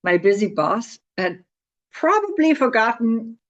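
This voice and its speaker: A woman's voice: a little high, sing-song, unhurried, muffled recording. A middle-aged woman author telling stories about her own life in a chatty way. She laughs often and restarts her sentences with repeated words. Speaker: author promoting her book. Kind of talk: casual spoken storytelling to camera.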